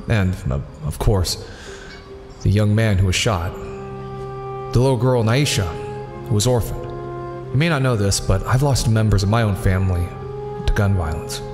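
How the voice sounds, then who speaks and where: A man playing a sincere, reserved wealthy philanthropist in an audio drama, speaking in an earnest, halting, restrained way. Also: lowish voice, long reverb tail, natural voice.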